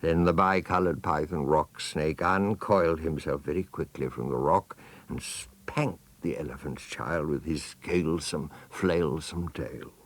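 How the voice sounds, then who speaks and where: A man's voice: a bass voice, unhurried. A man narrating a children's storybook theatrically, savouring the words and addressing the listener directly. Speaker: audiobook narrator.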